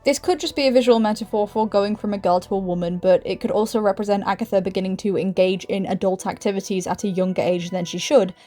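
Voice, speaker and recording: A woman's voice: mid-range voice, a little mellow. A woman narrating a scripted video essay as its host, with casual, self-deprecating asides in an informal, chatty way.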